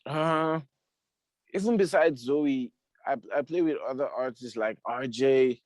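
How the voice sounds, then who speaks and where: A man's voice: mid-range voice, unhurried, dry recording. A man, a musician guest, talking casually and repeating himself, often saying "you see". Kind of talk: casual conversation.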